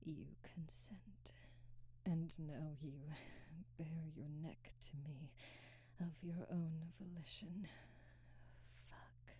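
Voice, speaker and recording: Casting: actress for aristocratic female vampire, ASMR roleplay. Low, dark, breathy voice, often whispered, formal, teasing and hungry but restrained. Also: steady tone, no echo, exaggerated voice. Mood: ravenous, desperate. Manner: slow, formal diction with teasing, halting restraint. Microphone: close, dry microphone.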